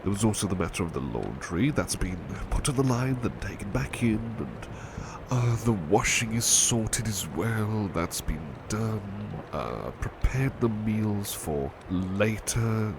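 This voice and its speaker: Gentle, affectionate butler played by a man for ASMR roleplay; low, expressively varied delivery, hesitant and stammering, over clear background noise. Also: very animated, unhurried. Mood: tired, chatty. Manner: polite, hesitant, stammering, tender. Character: gentle, devoted, flustered male butler, tired and affectionate. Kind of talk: intimate ASMR roleplay, soft-spoken.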